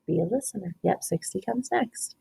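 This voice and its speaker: A woman's voice: mid-range voice, lively intonation, normal speed, gravelly, dark tone, husky. A woman kindergarten teacher reading a picture book aloud, prompting young children with counting questions and praise. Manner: prompting, instructive, praising pupils.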